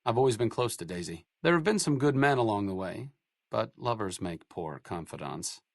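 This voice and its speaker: A man's voice: fairly low pitch, lively intonation, moderate pace, creaky, no room sound. An actor playing a bookish, dry-witted male doctor, speaking with sarcastic, literate quips in video game dialogue. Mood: reflective.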